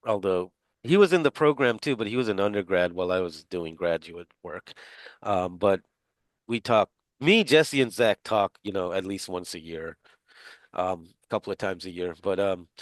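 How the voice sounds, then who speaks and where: Low-voiced rambling male instructor. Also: no echo. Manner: rambling, many fillers and restarts. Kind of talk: casual class introductions. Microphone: video call.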